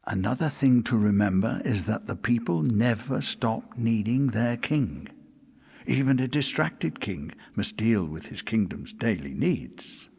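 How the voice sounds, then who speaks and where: An actor playing a sly, wry royal advisor who narrates a fantasy audio drama in a measured, courtly, sardonic way. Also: male voice, fairly low pitch, expressive, creaky, a little mellow, husky, exaggerated voice. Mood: wry narrating. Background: music.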